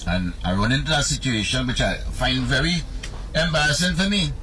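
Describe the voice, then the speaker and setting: A man's voice: medium pitch, fairly quick. A man with a Trinidadian accent telling a comic story about his travels. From Trinidad and Tobago. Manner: storytelling with a lively, sweeping delivery. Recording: loud background noise.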